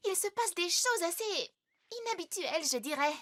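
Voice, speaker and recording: A woman's voice: extremely high pitch, expressive, moderate pace, very noisy. A woman voicing a concerned, hesitant young girl in a French children's cartoon. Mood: worried. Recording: loud music under the voice.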